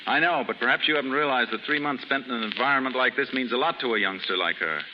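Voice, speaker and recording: An actor playing an earnest, protective and quietly wounded man in a 1930s radio drama, sincere and plain-spoken. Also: medium pitch, fast, close to an everyday voice. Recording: muffled, reverberant, hissy 1930s radio recording.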